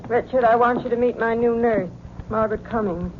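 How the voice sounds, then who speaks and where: A dull, faintly noisy vintage radio drama carries a querulous, imperious wife played by a woman. Her voice is fairly high and full of complaint. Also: brisk, natural voice. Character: demanding, querulous wife of a judge. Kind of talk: 1940s radio acting.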